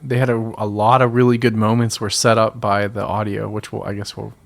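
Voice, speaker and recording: Low-voiced man gushing, dry and set back. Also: level tone, fairly quick, vocal fry, dry recording. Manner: enthusiastic, swears casually, drops to a low mumble. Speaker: podcast co-host. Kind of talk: casual podcast chat.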